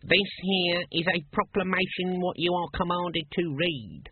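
A man's voice: a little high, expressive, a little slow, breathy edge, pitched above the performer's own voice, full character voice. A man voicing an officious, surly palace guard with pompous but colloquial speech in a fantasy audiobook. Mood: loud, officious. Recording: thin, band-limited, dry phone-like sound.

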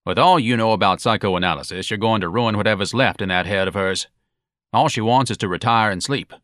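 A man's voice: on the low side, fairly quick, a bit bright, no room sound, studio quality, natural voice. A man voicing an irritable, sarcastic husband who speaks bluntly, in an audiobook story. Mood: irritated. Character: gruff, exasperated, sarcastic husband.

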